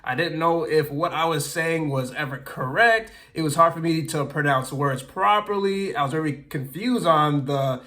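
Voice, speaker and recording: Man, slightly higher pitch, chatty passionate explainer to camera, laughs easily. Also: moderate pace, hardly any pauses, pushed and bright. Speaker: language learning YouTuber.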